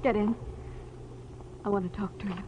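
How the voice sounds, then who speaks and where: A woman's voice: medium pitch, fairly even intonation, normal speed, lightly acted. Woman playing a guarded noir lady, muffled old radio. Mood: guarded, cool. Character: guarded, wistful married woman, a noir femme fatale. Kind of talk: 1940s radio drama acting. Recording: dull, hissy old radio recording.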